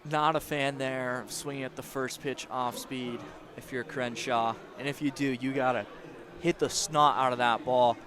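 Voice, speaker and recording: A man's voice: mid-range voice, fairly even intonation, moderate pace, fairly bright tone. A man giving analytical, critical baseball color commentary in a conversational way.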